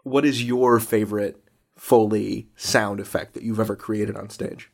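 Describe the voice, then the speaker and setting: A man's voice: on the low side, fairly even intonation, measured pace, gravelly. A man hosting a casual interview, talking in an enthusiastic and vividly descriptive way. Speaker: interviewer, show host.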